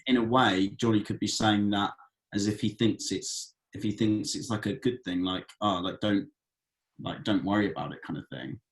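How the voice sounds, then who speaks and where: A man's voice: lowish voice, steady tone, normal speed, low-quality recording. A man, an actor at a read-through, casually offering thoughts on a script in a hesitant, agreeable way.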